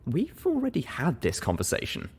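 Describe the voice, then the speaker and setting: A man voicing an affectionate, reassuring adult pony mare in an audiobook, speaking in a soft, tender, teasing way. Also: fairly high pitch, huge pitch swings, normal speed, husky, voice pushed up, heavily acted.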